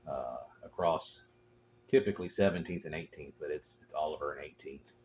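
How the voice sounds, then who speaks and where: A man's voice: very deep voice, fairly quick, darkish tone. A man chatting casually as an amateur radio operator, talking in a matter-of-fact, rambling way. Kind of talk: casual chat over two-way radio. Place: portable, out at a local spot. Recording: dull lo-fi audio with clear noise.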